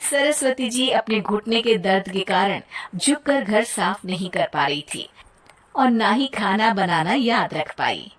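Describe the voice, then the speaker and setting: A woman narrating a cartoon moral story in a plain, even storytelling manner. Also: mid-range voice, a little slow.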